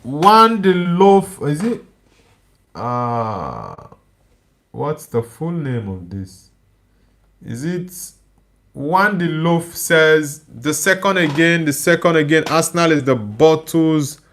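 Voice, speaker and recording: A man's voice: on the high side, sing-song, takes it slowly, a bit bright. A tired man with a Nigerian accent whose first language is Igbo, hosting a live stream and reading viewer comments aloud in casual chat. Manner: reads viewer comments aloud and answers them. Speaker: livestream host.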